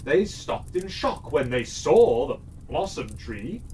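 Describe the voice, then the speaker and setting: A storyteller narrating a children's fairytale expressively, with dramatic, deliberate phrasing. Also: medium pitch, sing-song. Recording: slightly distant mic, faint noise.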